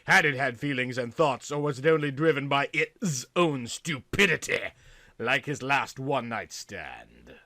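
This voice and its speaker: A man's voice: medium pitch, lively intonation, measured pace, pushed and bright, no room sound. A man narrating a story in a theatrical, comic reading style, with dramatic, emphatic phrasing.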